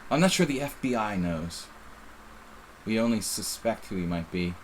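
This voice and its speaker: A man's voice: fairly low pitch, lively intonation, normal speed, slight background noise, poor audio. A middle-aged man with a faint Philadelphia accent, casually commenting on his own live chess game in a rambling, self-interrupting way. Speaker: chess streamer.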